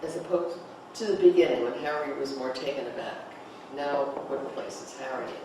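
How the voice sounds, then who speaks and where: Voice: mid-range voice, fairly quick. A director leading a rehearsal informally, guiding the actors with questions and coaching. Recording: echoey room, distant mic, noise.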